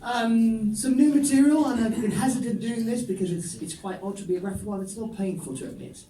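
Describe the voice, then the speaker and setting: Wry high-voiced comedian, echoey club. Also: male voice, fast talker, slight background noise. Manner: dry, ironic storytelling with deadpan asides. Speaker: stand-up comedian. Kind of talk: stand-up set. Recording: echoey room, voice from a distance.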